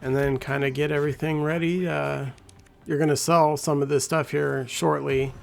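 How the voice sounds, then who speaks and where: A man with a scratchy, gravelly voice giving laid-back, rambling commentary as a gameplay guide. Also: a little high, flat delivery, normal speed. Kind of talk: casual walkthrough commentary.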